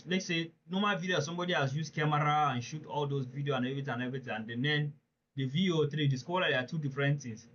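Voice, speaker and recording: A man's voice: fairly high pitch, a bit bright, dull audio. A man presenting a live webinar, talking earnestly and emphatically, often turning to listeners with direct questions.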